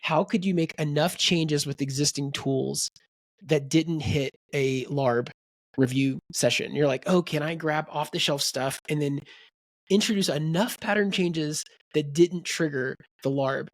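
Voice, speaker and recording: Gravelly-voiced man in casual book chat. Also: fairly high pitch, a little slow, no echo, clean studio sound. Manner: rambling, animated, quotes people in character voices. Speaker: podcast co-host.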